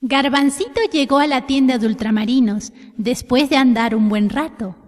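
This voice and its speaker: A woman's voice: sits high, moderate pace. A woman telling a children's story expressively from a script, addressing young listeners directly. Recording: long reverberant echo.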